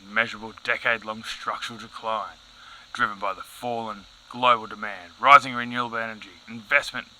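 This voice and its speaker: An actor playing an exasperated, rational professor in an audio comedy, speaking with precise, pedantic emphasis. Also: male voice, fairly low pitch, sharp tone, slight background noise, low-quality recording. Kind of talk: satirical news audio comedy acting.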